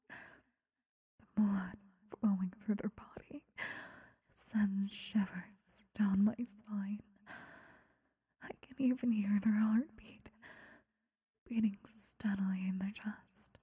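A woman voicing an obsessive, possessive vampire stalker in an intimate, breathy ASMR roleplay whisper, close to the microphone. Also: mid-range voice, mellow, dry recording. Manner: slow, intimate, lingering delivery.

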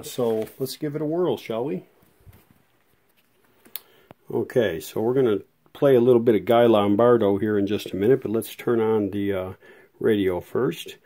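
A man's voice: medium pitch, mellow. A man casually demonstrating a vintage radio repair at his workbench, talking in a rambling, hesitant way with many fillers, filmed one-handed on a phone. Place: workshop bench with fluorescent lights. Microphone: handheld phone camera, one-handed. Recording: background hum from fluorescent lights.

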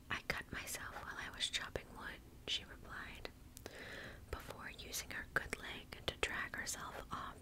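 A woman in her thirties gently reading a story in an ASMR style, whispering close to the mic with careful, deliberate phrasing. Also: rough recording. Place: small indoor space in front of a curtain.

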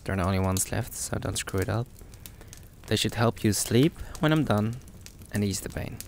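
A man acts out a caring, protective boyfriend in an intimate audio roleplay. His voice is low and gravelly, with a slow, halting way of speaking to his partner. There is clear ambient noise behind him. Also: expressive. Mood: tender, reassuring. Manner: soothing, reassuring, halting, addressing the listener as his partner. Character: sweet, protective young boyfriend, anxious and tender.

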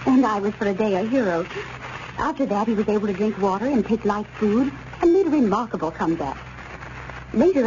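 An actress plays an earnest woman reading a testimonial letter for an old radio advert. Her voice is fairly high yet soft-toned, and her delivery is measured. It sounds muffled, as vintage broadcasts do. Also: close to an everyday voice. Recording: muffled, hissy 1930s radio recording.

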